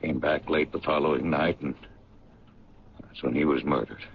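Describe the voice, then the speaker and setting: Low-voiced, blunt businessman, muffled old radio. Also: steady tone, normal speed, vocal fry. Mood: frustrated, explaining. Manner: blunt, colloquial, exasperated. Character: exasperated paint company businessman, blunt, practical man. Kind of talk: old radio drama acting. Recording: muffled, noisy 1970s radio recording.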